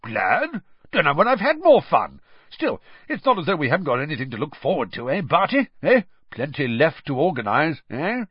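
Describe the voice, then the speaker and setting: Man playing a high, breezy, jovial chap. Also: wildly swooping pitch, moderate pace, husky, no room sound, dull audio, full character voice. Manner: bright, breezy, chatty. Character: breezy, jovial, careless sporting man, overexcited.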